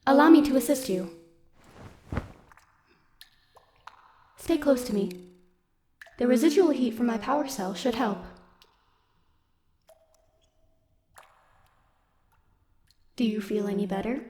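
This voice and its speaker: Girl doing a stoic lady robot soldier, kinda high voice, formal and measured, looking after one person, audio roleplay. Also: background noise, natural voice. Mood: composed, caring. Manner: formal, measured, literal wording. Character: stoic, dutiful female military robot, gently protective. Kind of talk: scripted audio roleplay, speaking softly to one listener.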